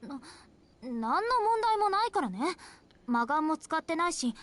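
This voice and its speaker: A woman's voice: high-pitched, very animated, brisk, fairly bright tone. A woman playing a pouty, carefree young female vampire in a Japanese visual novel. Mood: defensive.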